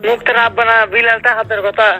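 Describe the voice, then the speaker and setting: A man's voice: very high voice, level tone, rapid-fire, creaky, sharp tone. A man with a Bangladeshi accent in a casual phone conversation, exasperated, repeating himself and swearing. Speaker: son on a phone call. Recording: phone call recording, faint music behind.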